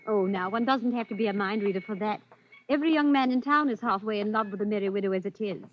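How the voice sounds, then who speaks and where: A woman's voice: medium pitch, fast talker, natural voice. An actress playing a polite, coy young lady in a light, courteous manner in a 1940s radio drama. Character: polite, coy lady theatregoer meeting an admirer. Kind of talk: 1940s radio suspense acting. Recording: dull, slightly noisy old radio recording.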